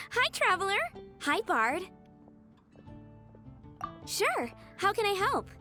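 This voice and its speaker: A woman's voice: extremely high pitch, sing-song, extremely slow, bright, forceful tone, soft background music, full character voice. A woman voicing a sweet, dreamy little girl in a video game, with an innocent, earnest, childlike way of speaking. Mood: friendly. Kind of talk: video game character acting.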